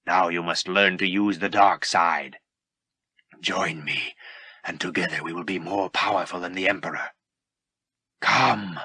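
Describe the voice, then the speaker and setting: A man voicing a menacing armored dark lord, speaking slowly and deliberately. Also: sits low, breathy edge, no echo, clean studio sound, full character voice. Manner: slow, deliberate, ominous.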